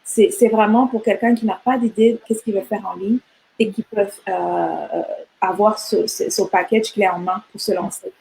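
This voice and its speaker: A woman's voice: mid-range voice, fairly even intonation, moderate pace, gravelly, darkish tone, low-quality recording. A middle-aged woman from Rwanda, chatting animatedly as an interview guest, often repeating words as she restarts her sentences. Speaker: guest entrepreneur. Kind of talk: casual interview chat.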